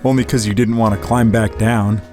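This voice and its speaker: A man's voice: lowish voice, fairly even intonation, brisk, a little mellow, soft background music, lightly acted. A man playing a roguish, easygoing bum with a wry, flirtatious manner in a noir-flavoured audio drama. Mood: wry, relaxed.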